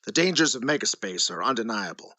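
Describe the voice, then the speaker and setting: A man's voice: fairly low pitch, sing-song, fast talker, bright, forceful tone, no echo, exaggerated voice. A man playing an eloquent, earnest superhero scientist in an audio drama, speaking in a formal, lecturing way full of wonder. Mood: grave, awed.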